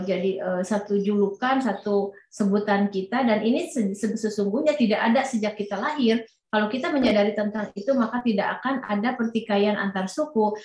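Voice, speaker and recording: A woman interpreting a lecture, hesitant, with many fillers and restarts. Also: mid-range voice. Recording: roomy echo.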